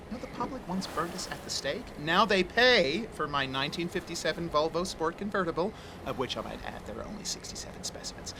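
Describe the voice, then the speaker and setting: A man's voice: on the high side, noisy background. An actor playing a campy, theatrical middle-aged male ghost hunter in an audio drama, grandiloquent and airy. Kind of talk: audio screenplay acting.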